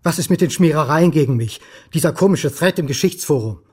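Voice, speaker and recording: An actor in a radio crime drama playing a stubborn, irritable historian, speaking in a dry, clipped, sardonic way. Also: male voice, fairly high pitch, expressive, a little fast, a little mellow, breathy edge. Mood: irritated, challenging. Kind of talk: German crime radio play acting, narration and dialogue.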